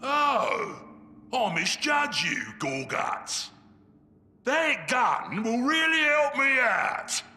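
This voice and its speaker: A belligerent, slow-witted ork boss from a video game, bellowing at a high, strained pitch in a Cockney accent. He brags slowly, with echoing reverb and noise around him. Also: sing-song, bright, forceful tone, heavily acted. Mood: grudgingly pleased. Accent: British, Cockney-style. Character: loud, gullible, belligerent male ork boss. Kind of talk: video game character acting. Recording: long echoing reverb, clear background noise.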